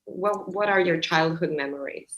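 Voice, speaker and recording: A woman's voice: on the low side, normal speed, highs cut off. A woman from Bosnia and Herzegovina co-hosting a podcast, asking direct, probing questions. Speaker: podcast co-host. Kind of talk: interviewing. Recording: roomy echo.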